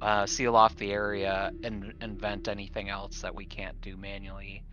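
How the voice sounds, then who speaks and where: A man's voice: fairly low pitch, completely flat delivery, moderate pace, pushed and bright. A man playing a tabletop roleplaying game, casually laying out a plan. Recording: dull lo-fi microphone.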